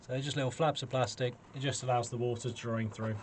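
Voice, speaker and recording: A man's voice: medium pitch, steady tone, fast talker. A man in his forties with a British accent giving a casual, chatty, self-deprecating gardening demonstration to camera. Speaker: allotment gardening vlogger. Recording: clearly noisy camera sound with clicks.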